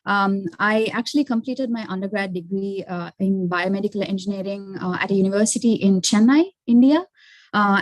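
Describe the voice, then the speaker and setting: A young woman from Sri Lanka introducing herself on an online panel, halting, with frequent fillers. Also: medium pitch, dry recording, low-quality recording. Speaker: alumna panelist.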